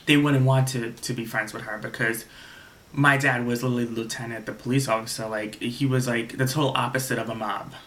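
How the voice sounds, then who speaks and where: A man's voice: mid-range voice, fairly even intonation, a little fast, a bit bright. A young man from Staten Island, telling a rambling casual story to camera and restarting his sentences often. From Staten Island, New York. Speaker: vlogger.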